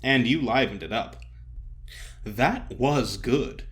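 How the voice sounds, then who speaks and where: A man voicing an effusive, theatrical older stage comedian who cajoles and flatters grandly in an audio story. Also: medium pitch. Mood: grateful, pleased. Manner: emphatic, drawn-out address, grand pronouncements.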